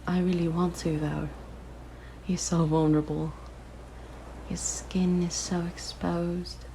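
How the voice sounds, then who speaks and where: Siren, played by a woman: lowish female whisper, breathy, slow, gently menacing yet curious, ASMR roleplay, faint noise behind. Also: poor audio. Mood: hungry, menacing. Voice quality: soft, breathy, largely whispered. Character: weak-voiced yandere siren, lonely, curious, hungry predator.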